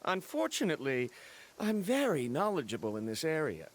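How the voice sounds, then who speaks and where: A man's voice: a little high, huge pitch swings, moderate pace, a little hiss or hum. A man voicing a frank, stubborn, learned scholar in a video game, formal, precise and argumentative. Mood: analytical. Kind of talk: video game character acting.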